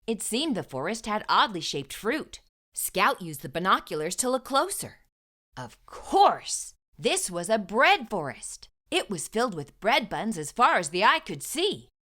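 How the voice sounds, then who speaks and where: A woman reading a storybook aloud to young children, with expressive, emphatic delivery and deliberate phrasing. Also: medium pitch.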